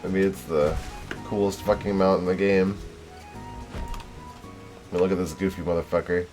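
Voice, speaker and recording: A man's voice: low voice, steady tone, measured pace, darkish tone, soft background music. A man doing casual livestream gaming commentary, rambling and sweary with a self-deprecating manner. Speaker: game livestreamer.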